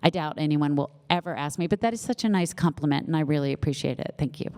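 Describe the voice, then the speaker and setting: A woman's voice: low-pitched, quick pace, darkish tone, clean tone. A middle-aged woman author with a slight lisp, chatting casually and self-deprecatingly with an audience, with lots of fillers. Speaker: author at book launch. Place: event hall with live audience.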